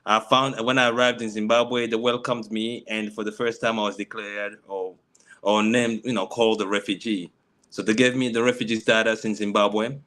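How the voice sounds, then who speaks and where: A middle-aged man from the Congo, a native Swahili speaker, telling his refugee story on a video call panel with halting speech and frequent restarts. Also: fairly low pitch, level tone, a bit bright. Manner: halting, many restarts and fillers.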